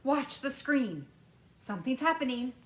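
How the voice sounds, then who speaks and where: A woman voicing an eccentric, excitable male candy maker who exclaims fussily, in a storybook reading. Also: sits high, voice pushed up. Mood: worried. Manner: fussy, exclamatory, dramatic pauses. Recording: roomy echo.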